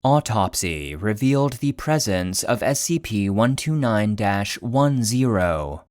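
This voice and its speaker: A man narrating a scripted fictional case file for a podcast in a measured, serious, clinical manner. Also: fairly low pitch, expressive, darkish tone, no room sound, studio quality.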